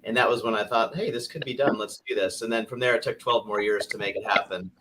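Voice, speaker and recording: A man's voice: fairly low pitch, fast, bright, forceful tone. Upbeat middle-aged man on a noisy line. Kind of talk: panel guest answer.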